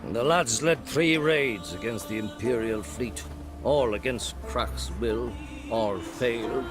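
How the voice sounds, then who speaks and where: Grumpy old male druid acted by a man, mid-pitched, wry, disapproving, sententious, music playing behind. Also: slow, lightly acted. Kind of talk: video game voice acting.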